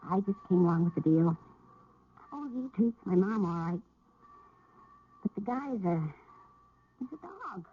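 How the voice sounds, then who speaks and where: Wary teen runaway in an old radio play, kinda hesitant, breathy mid voice, dull tinny sound. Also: female voice, mid-range voice. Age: young adult. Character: wary, guarded eighteen-year-old runaway girl. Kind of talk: radio drama acting. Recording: muffled old radio broadcast.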